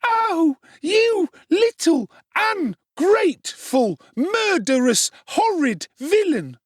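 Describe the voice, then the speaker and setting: A man voicing a violent, shrill young woman servant who screams and scolds. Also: big pitch swings, unhurried, a little mellow, dry recording, studio quality, full character voice. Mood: screaming rage.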